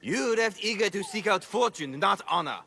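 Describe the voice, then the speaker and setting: An actor playing a distrustful, confrontational shinobi in a dramatic video game. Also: male voice, high-pitched, expressive, moderate pace, vocal fry, bright, forceful tone, exaggerated voice. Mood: accusing. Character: sharp, distrustful male shinobi challenger. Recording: long hall reverb.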